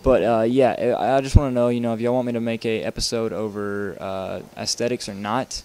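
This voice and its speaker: A man's voice: mid-range voice, level tone, a little fast, darkish tone, clean tone. A young man talking casually over his gameplay while showing how to build a track, rambling with lots of fillers and restarts. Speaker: video game tutorial maker.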